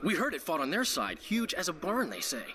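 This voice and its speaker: Chatty florid bard, higher voice, really animated, noisy ambience, fantasy game. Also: male voice, a little fast, a crowd in the background. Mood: eager, curious. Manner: animated, dramatic storyteller. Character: excitable, gossipy, florid adult male bard. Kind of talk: dramatic game dialogue.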